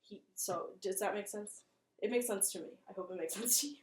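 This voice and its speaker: A woman's voice: mid-range voice, fairly quick. A woman giving casual video commentary as a host, chatty, rambling and self-deprecating. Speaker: host of a horror video channel. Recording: roomy, echoing room sound.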